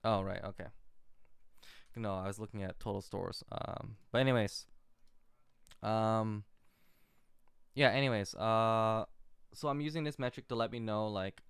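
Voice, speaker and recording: A man giving an off-the-cuff screen-share commentary as an amateur investor, talking in a halting, rambling way. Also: fairly low pitch, vocal fry, clear, resonant tone, no room sound, clean studio sound. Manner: halting, rambling, hesitant delivery. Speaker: retail investor recording a portfolio update.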